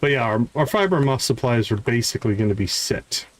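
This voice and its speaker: A man's voice: mid-range voice, lively intonation, quick pace. A man doing casual live commentary as he plays a game, chatting in a friendly way with viewers. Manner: friendly, greets viewers by name. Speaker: livestream host playing a farming game.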